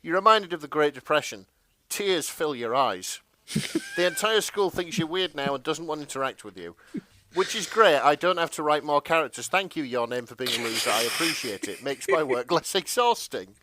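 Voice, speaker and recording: A man's voice: on the high side, expressive, normal speed, sharp tone, poor audio. A man co-hosting a podcast in casual comedic chat, sounding bemused and a little hesitant. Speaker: podcast co-host.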